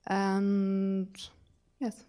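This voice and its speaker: A woman's voice: medium pitch, completely flat delivery, very slow. A young woman from Germany in her twenties, introducing herself on a panel in a shy, hesitant way. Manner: shy, hesitant, laughs softly. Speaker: panelist, student.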